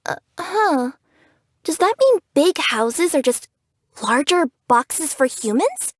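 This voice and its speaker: A woman's voice: high voice, very animated, slow pace, no room sound, studio quality, full character voice. A woman voicing a cheerful, curious young female cat yokai who works as a package courier in a video game, earnest and bubbly in manner. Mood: puzzled, musing. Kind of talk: English video game character voice acting.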